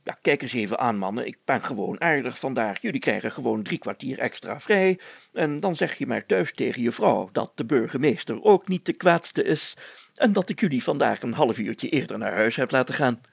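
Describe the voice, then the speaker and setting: A man's voice: medium pitch, expressive, moderate pace, creaky, breathy edge, no echo. A man voicing a bossy, sly village mayor who talks pompously with hems and repeats while feigning kindness in a storybook. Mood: falsely friendly.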